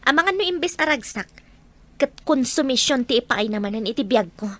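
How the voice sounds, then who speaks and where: A wary, sorrowful mother, played by a woman with a fairly high voice and an Ilocano Filipino accent. She speaks earnestly, as in a radio drama about family hardship. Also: animated, brisk, fairly bright tone. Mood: wary, burdened. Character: wary, burdened mother raising children alone. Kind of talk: Ilocano audio drama acting.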